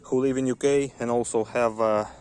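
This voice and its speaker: A man from Ukraine with a Ukrainian accent, explaining car parts step by step in halting, non-native English, in a casual how-to style. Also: medium pitch, fairly even intonation. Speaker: car hobbyist showing parts. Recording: loud background noise.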